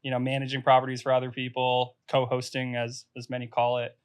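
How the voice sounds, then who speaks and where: A man's voice: medium pitch, monotone, fairly quick, smooth. Man, podcast host, upbeat, chatty, mid-range voice. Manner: upbeat, hypes guests. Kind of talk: casual live podcast chat.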